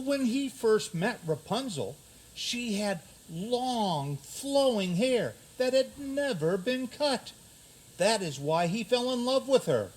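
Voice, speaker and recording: Guy doing an earnest storybook prince, really high voice, slow, formal, radio comedy. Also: full character voice, poor audio. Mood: earnest defending. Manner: declamatory, formal. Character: earnest, theatrical prince defending his dear brother. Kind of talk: comic community radio theater.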